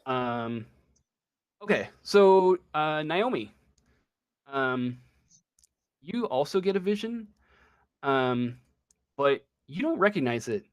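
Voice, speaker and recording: A man working as game master, improvising a tabletop role-playing story in a halting, drawn-out way. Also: on the high side, very animated, clear, resonant tone, dull audio.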